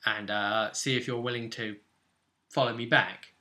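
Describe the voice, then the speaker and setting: A man's voice: medium pitch, steady tone, normal speed, sharp tone. A British man casually recapping a tabletop role-play session as game master, telling it like a story in loose, broken pieces.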